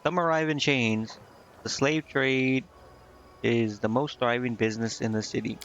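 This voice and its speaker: A man's voice: medium pitch, steady tone, unhurried, a bit bright, lo-fi sound, a little hiss or hum. A man streaming a game, reading its dialogue aloud with casual commentary and stumbling now and then before correcting himself. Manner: stumbles over read text and corrects himself. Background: game music.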